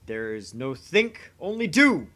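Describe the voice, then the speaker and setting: Give this game character a bright, piercing edge. He is played by a man as a young, self-styled mad scientist, full of pompous grandeur. His declarations are theatrical and sweep widely in pitch. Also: very animated, a little slow, full character voice. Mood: pompous, indignant.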